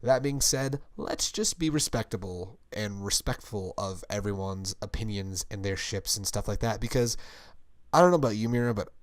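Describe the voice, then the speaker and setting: A young man talks in a casual, rambling way, laughing easily. His voice is hoarse, gravelly and scratchy from screaming, and sits fairly low. The sound is dry and close. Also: normal speed, dry recording. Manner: rambling, upbeat, laughs often. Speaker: podcast co-host. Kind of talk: casual chat.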